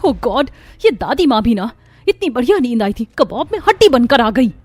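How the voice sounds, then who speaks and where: A woman's voice: a little high, sing-song, moderate pace, a little mellow, dry recording. A woman voicing a put-upon young daughter-in-law in a family cartoon, with a wry, complaining manner. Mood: grumbling.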